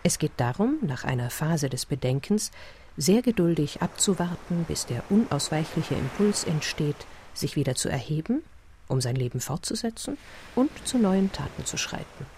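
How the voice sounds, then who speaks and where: A narrator in a radio play, reading a whimsical documentary report in a measured, formal, matter-of-fact way. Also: medium pitch, sing-song, darkish tone, slight background noise. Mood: sober, measured.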